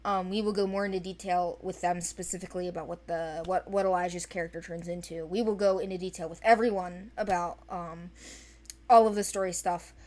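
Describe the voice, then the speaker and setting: A woman talking casually and off the cuff as the creator of an animated series briefing people who want to audition. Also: lowish voice, brisk. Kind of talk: casual unscripted discussion.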